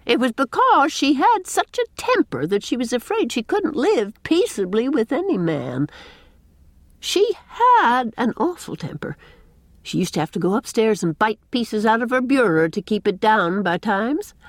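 A brisk village spinster of middle age, full of opinions and gossip, voiced by a woman reading a storybook. Her delivery is folksy and emphatic, with very lively rises and falls. The sound is dry, with no room around it. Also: on the high side, dry recording, pitched above the performer's own voice. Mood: gossipy, confiding.

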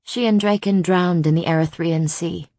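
A woman voicing a cold immortal princess in an audiobook, flat and defiant with some breathiness. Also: on the low side, moderate pace, dry recording.

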